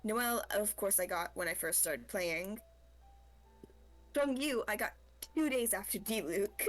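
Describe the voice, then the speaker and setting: A woman's voice: medium pitch, a little slow. A woman guest on a game stream, chatting casually and somewhat hesitantly. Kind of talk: casual chat about gaming.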